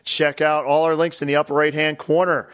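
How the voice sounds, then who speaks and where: Man, podcast host, slightly high voice, wry, teasing. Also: level tone, brisk, a bit bright, smooth. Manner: wry, teasing, reads show details. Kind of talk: casual podcast banter.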